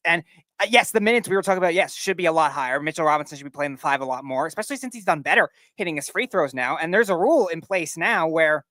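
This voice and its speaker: A man on a sports talk panel giving unscripted basketball analysis, explaining in a halting way and restarting his points. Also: fairly high pitch, fairly bright tone, no echo.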